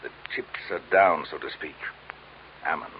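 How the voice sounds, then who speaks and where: An actor playing a pompous, portly crime boss with florid, mock-courteous speech in an old-time radio drama. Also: male voice, rumbling low, a little slow. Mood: smug, commanding. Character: pompous, wordy, portly male crime boss with a pistol-toting henchman. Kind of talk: 1940s radio acting. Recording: muffled old radio recording, faint noise.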